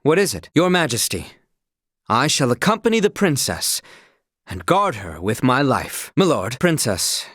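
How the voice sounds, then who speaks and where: An earnest young knight, speaks with formal, archaic courtesy. His delivery is animated and moves freely in pitch, with a loyal, dignified temper. The recording is dry and close, like a game voice line. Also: measured pace, dry recording. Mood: pensive, then respectful, measured. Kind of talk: video game voice acting.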